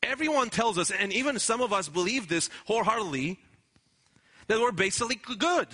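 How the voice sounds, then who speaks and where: A man's voice: high voice, animated, brisk, sharp tone, husky. A man preaching a sermon in an earnest, emphatic way, often restarting his words. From Romania. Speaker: pastor.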